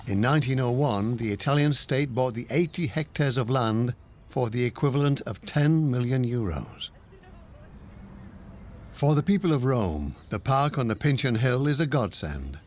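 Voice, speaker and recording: A man narrating a travel documentary in a calm, measured scripted voice-over. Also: mid-range voice, slight background noise. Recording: voice-over with music behind. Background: music.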